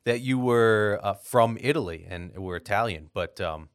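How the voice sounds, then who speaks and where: A man hosting an interview podcast, asking relaxed, friendly questions. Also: lowish voice, normal speed, smooth, no room sound, studio quality. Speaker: podcast host. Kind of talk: casual interview conversation.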